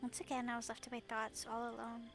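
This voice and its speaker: A woman's voice: on the high side, flat delivery, fast talker, soft background music, natural voice. A woman voicing a wounded, brooding first-person narrator of a melodramatic story, subdued and wistful. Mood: hurt, wistful.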